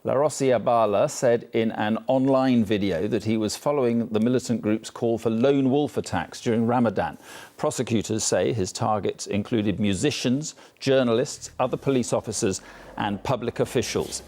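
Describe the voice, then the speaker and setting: A man with a British accent reading the evening news in a measured, formal way. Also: medium pitch, darkish tone. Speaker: news anchor.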